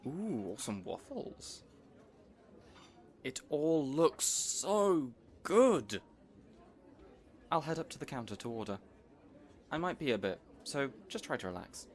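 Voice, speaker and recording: Casting: male actor as affable evil mastermind boss for audio roleplay, mid-pitched, chatty, earnest, playfully bossy. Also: big pitch swings, takes it slowly, long pauses. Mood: cheerful, eager. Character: affable, eager supervillain boss fond of his assistant. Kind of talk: audio roleplay acting addressed to listener.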